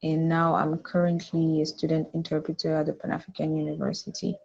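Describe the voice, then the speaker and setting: A woman's voice: sits low, completely flat delivery, fairly quick, creaky, darkish tone, dull audio. A woman in her twenties with a Cameroonian accent, casually answering interview questions as a podcast guest. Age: 20s.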